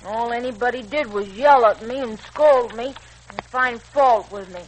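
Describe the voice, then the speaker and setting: A woman's voice: on the high side, normal speed, natural voice. A woman playing a plucky, aggrieved young boy in a 1940s radio serial. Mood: aggrieved, defiant. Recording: noisy old 1940s radio recording.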